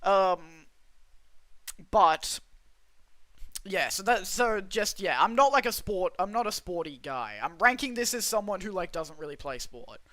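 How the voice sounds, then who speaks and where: A man's voice: sits high, animated, unhurried, pushed and bright, dry recording. A young Australian man streaming, chatting casually with his viewers in a rambling, self-interrupting way. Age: young adult. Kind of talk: casual livestream chat reading chat.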